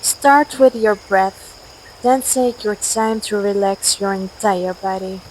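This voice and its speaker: A woman guides a sleep meditation very slowly, with gentle, soothing instructions and long pauses. Her voice sits at a medium pitch for a woman. Crickets and outdoor night ambience are heard behind her. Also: rough recording. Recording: steady outdoor nature noise, crickets. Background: cricket and night insect ambience.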